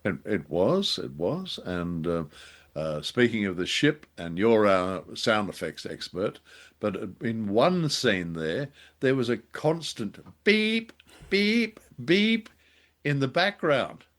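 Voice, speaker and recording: A man's voice: fairly low pitch, sing-song, slow pace, fairly bright tone. A man co-hosting a podcast, giving a casual review with wry, jokey exaggeration. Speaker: podcast co-host.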